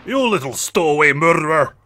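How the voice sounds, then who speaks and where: A man's voice: fairly high pitch, animated, fairly quick, bright, forceful tone. A man voicing a burly, rude sailor with a mock Russian accent who speaks blunt, broken English. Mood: gruff haughty. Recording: noisy background ambience.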